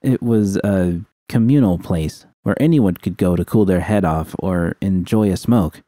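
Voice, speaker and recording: Dark, very low-voiced man reading a story. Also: measured pace, no echo, clean studio sound. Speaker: audiobook reader of fan fiction. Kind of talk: scripted first-person story narration.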